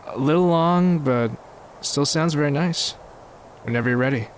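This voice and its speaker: A man's voice: fairly high pitch, normal speed, a little hiss or hum, natural voice. A man playing a suave, smitten rich man on a date in an audio drama. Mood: smitten, smooth.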